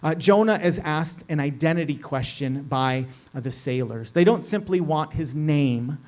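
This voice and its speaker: A middle-aged man preaching in a loose, chatty way, checking in with his listeners as he goes. His voice sits a little higher than most men's. He explains things as if talking with friends rather than reading out a text. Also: moderate pace, darkish tone. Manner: casual, lots of "like" and "right?" asides. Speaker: pastor. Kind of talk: conversational sermon.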